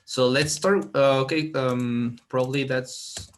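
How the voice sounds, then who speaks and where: A man's voice: medium pitch, steady tone, takes it slowly, slight background noise. Male teacher running a class video call, hesitant delivery with frequent fillers.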